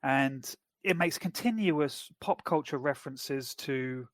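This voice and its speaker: A man co-hosting a podcast, chatting casually and explaining plots in a measured way. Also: medium pitch, a bit bright, no room sound. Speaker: podcast co-host. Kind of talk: casual chat.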